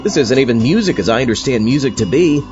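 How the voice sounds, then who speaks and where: A man's voice: mid-range voice, lively intonation, fast talker, lo-fi sound, music in the background, lightly acted. A man playing an exasperated zombie astronaut party host, dry and put-upon, in a comic audio drama. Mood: musing, picky.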